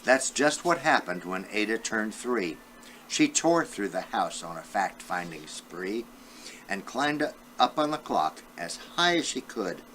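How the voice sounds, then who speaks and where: An elderly man with a low, bright voice reads a children's storybook at an unhurried pace. His delivery swings up and down as he addresses young listeners directly, like a great-grandfather at storytime. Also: big pitch swings, a little hiss or hum, poor audio. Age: 70s or older. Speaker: great-grandfather reading aloud. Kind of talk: children's storybook read-aloud.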